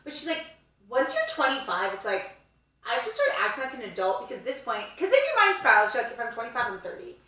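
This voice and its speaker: A woman in her twenties co-hosting a podcast, joking in a lively, playful way. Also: fairly high pitch, heavy reverb, far from the mic, rough recording. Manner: lively, playful and quick to joke. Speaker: podcast co-host. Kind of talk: casual chat.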